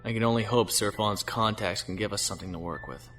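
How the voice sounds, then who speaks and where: A man's voice: on the low side, quick pace, fairly bright tone, soft background music. A man playing a weary, principled starship captain in a science fiction audio drama, reflective and earnest.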